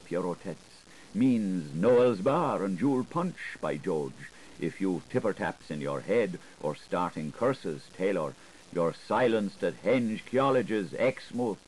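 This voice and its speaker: A man narrating a literary audiobook in a theatrical, deliberate, incantatory manner, playful with the wordplay, on a 1980s recording. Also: fairly low pitch, very animated, slight background noise, rough recording.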